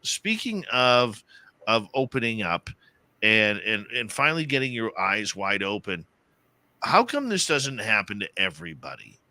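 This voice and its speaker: A man's voice: lowish voice, measured pace, no echo. A man hosting a late-night talk radio show, upbeat and chatty with his guest. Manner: upbeat, excitable sign-offs.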